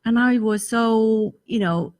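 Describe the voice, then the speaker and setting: A woman's voice: fairly high pitch, takes it slowly, darkish tone. A middle-aged woman from Taiwan, a guest in an interview, speaking earnestly and searching for words in a language that is not her first. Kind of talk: interview conversation.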